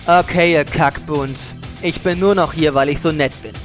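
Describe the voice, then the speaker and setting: A man's voice: a little high, fairly even intonation, normal speed, natural voice. Male actor as cocky, foul-mouthed elf adventurer for comic fantasy radio play, impatient and bossy, music underneath. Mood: arrogant, annoyed. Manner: bossy, sneering, insulting. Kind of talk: fantasy audio drama parody.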